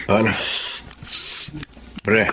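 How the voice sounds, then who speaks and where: A man's voice: drawn-out words, a bit bright, slight background noise. An actor playing a sly male drug dealer who talks in an easygoing, teasing way. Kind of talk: radio drama acting.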